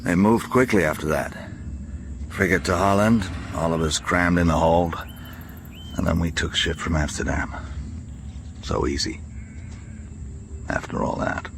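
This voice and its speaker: A man playing a weary American former prisoner in a radio drama, telling his memories in a measured, gentle way. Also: sits low. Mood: reflective. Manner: measured, reflective storytelling. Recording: clearly noisy background.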